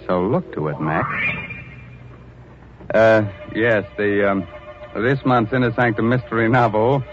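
Slow, low-voiced wry radio horror host. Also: male voice. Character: macabre, wry, teasing horror show host. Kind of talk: 1940s radio horror hosting. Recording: muffled old radio recording with music.

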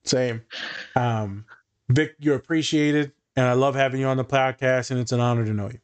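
Casual male podcast host, a therapist, mid pitch, relaxed and jokey. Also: moderate pace, smooth.

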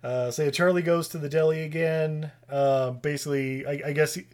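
A man's voice: a little high, steady tone, normal speed, a little mellow. A man in his thirties casually recapping a movie with friends, laughing often and rambling. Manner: laughing, rambling recap with silly quoted voices. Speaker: podcast host recapping a movie. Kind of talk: casual chat.

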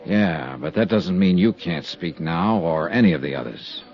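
An American actor playing a tough, steady insurance investigator in a 1950s radio drama, with clipped, hard-boiled delivery. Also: male voice, deep voice, moderate pace. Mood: calm, probing. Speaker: lead actor and narrator. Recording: dull vintage 1950s radio recording.

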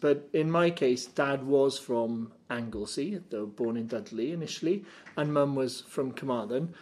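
A man's voice: mid-range voice, darkish tone. A middle-aged Welsh man, a native Welsh speaker, giving articulate, reflective interview answers with a wry touch. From Cardiff, Wales. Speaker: guest, journalist and communicator.

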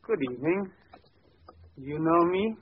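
An actor playing a pompous, nervous sanitarium patient with grandiose formal speech, in 1940s radio style. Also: male voice, fairly high pitch, animated, a little slow, slight background noise. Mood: grandly pleading. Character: pompous, nervous male mental patient, self-declared famous organist. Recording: thin phone-like old radio recording.

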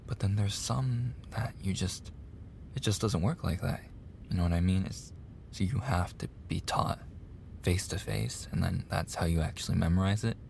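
A man plays a male college tutor in an intimate ASMR roleplay, easygoing and teasing. His soft voice sits low with a heavy creak, and he takes his time with casual, flirty pauses. There is faint noise behind him. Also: animated. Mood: friendly, casual. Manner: casual, hesitant pauses, soft-spoken, drops into whispers. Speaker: audio roleplay performer.

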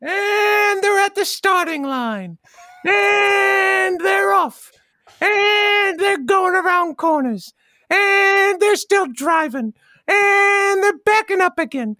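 Woman as a silly little Boston boy, extremely high voice, sharp and bright, childish, earnest comedy bit. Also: slow pace, no room sound, clean studio sound, full character voice. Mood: excited, earnest. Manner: childish, simple declarations, deadpan silliness. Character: odd, dim little Boston boy named Bob. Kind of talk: improvised comedy character interview.

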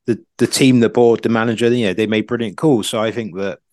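Low-voiced man talking football. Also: fairly even intonation, a little mellow, dry recording, clean studio sound. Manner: halting, thinking aloud with hedges and repetitions. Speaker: football podcast pundit. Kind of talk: casual football discussion.

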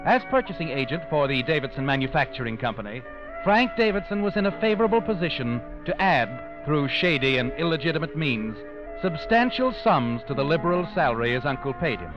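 An American actor playing a mysterious, foreboding storyteller in a 1940s radio mystery, speaking with dramatic deliberation. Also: male voice, fairly high pitch, big pitch swings, a little fast. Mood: wry, foreboding. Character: mysterious ominous male storyteller who walks by night. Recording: muffled vintage radio recording, music behind.

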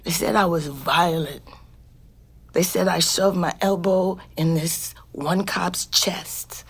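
A woman's voice: fairly low pitch, steady tone, takes it slowly, husky, heavily acted. An actress playing a bitter, foul-mouthed woman just out of prison, in naturalistic audio drama with slangy, emphatic delivery. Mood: bitter, defensive. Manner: streetwise, slangy, profane, emphatic.

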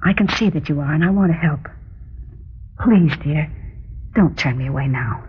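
An actress playing an anxious, devoted wife in an old radio drama, speaking earnestly and pleadingly in formal diction with a husky voice. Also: female voice, low-pitched, moderate pace. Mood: tender, pleading. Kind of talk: mid-century radio drama acting. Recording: dull, lo-fi old radio recording.

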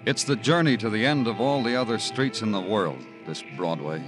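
An actor playing a world-weary, hard-boiled police detective in old radio noir, dry, sardonic and insistent. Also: male voice, fairly low pitch, moderate pace, close to an everyday voice. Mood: brooding. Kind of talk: 1950s radio noir acting. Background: music.

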